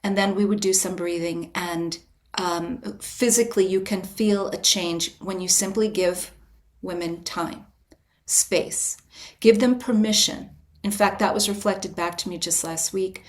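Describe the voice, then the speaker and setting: A woman guest on a podcast interview, a writer and retreat leader, explaining her approach in a calm, invitational, measured way with frequent restarts. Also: fairly low pitch. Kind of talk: reflective interview answer.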